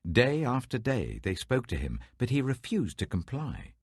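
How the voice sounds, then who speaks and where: A man reading scripture aloud in a measured, dramatic manner, close to the mic. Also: medium pitch, wildly swooping pitch, husky, dry recording. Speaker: Bible reader.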